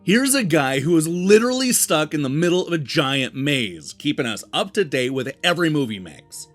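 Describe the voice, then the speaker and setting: A man delivering a scripted video game review as the show's host, with emphatic, deliberate phrasing. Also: fairly high pitch, very animated. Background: background music.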